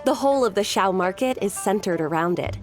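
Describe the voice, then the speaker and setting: A woman's voice: mid-range voice, expressive, brisk, natural voice. A woman playing a friendly, proud festival worker in a video game, explaining things chattily to newcomers. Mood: friendly, informative. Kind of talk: video game character acting. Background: music.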